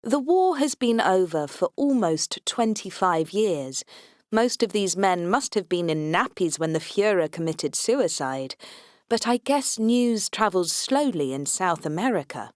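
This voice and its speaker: A woman's voice: lowish voice, animated, unhurried, no echo, studio quality. Woman narrating a wry comic audiobook. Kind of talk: scripted first-person audiobook narration.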